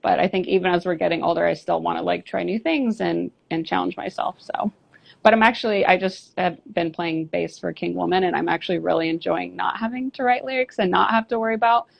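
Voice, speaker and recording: A woman's voice: fairly low pitch, fairly quick, vocal fry. A woman musician chatting candidly as an interview guest, rambling with fillers and restarts. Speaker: band singer and bassist, guest. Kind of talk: casual interview chat. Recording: lo-fi dull audio.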